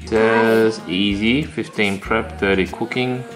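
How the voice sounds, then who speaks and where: A man's voice: fairly low pitch, fairly even intonation, slow, fairly bright tone. A man in his thirties with an Australian accent, chatting casually through a home cooking vlog and joking with his kids as he explains each step. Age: 30s. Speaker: family vlogger. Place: home kitchen, indoors. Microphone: handheld camera at arm's length. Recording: roomy kitchen with background music.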